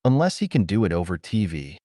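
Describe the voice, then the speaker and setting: Man playing sarcastic grumbling fellow, animated. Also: sits low, a little fast, no echo, clean studio sound. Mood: jealous, sulky. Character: sarcastic, jealous young man, wryly grumbling.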